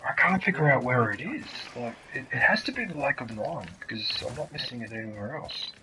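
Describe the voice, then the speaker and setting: A man's voice: medium pitch, brisk. A man in his fifties, chatting and rambling with friends while gaming, often trailing off mid-sentence. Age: 50s. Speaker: gaming channel host. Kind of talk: casual chat while gaming. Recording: steady background noise.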